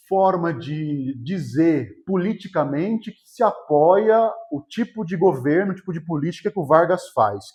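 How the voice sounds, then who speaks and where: A cram-course classroom where a Brazilian man from São Paulo state, voice a little on the high side, explains things casually and keeps checking that students follow. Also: a little mellow. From São José dos Campos, Vale do Paraíba, Brazil. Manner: colloquial, often checking in with short tag questions. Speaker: history teacher. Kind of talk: informal exam-prep lecture.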